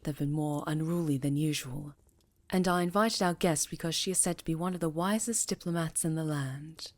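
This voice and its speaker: A woman playing a stern, commanding witch who leads a coven, speaking formally and with measured authority in an ASMR fantasy roleplay. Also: low voice, fairly even intonation, natural voice. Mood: grave, measured. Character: stern, commanding female high enchantress leading a witch coven.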